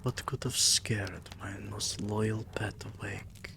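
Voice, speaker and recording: A man playing a possessive, tender yet menacing vampire king in an intimate ASMR roleplay, murmuring softly and dipping into whispers. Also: fairly low pitch, fairly even intonation, background noise. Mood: soothing, possessive. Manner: soft, slow, breathy murmurs dipping into whispers.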